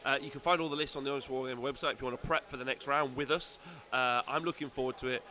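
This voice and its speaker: Bright-voiced man hosting a live stream. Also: medium pitch, steady tone. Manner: chatty, halting, with many fillers. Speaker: stream host. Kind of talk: casual live commentary.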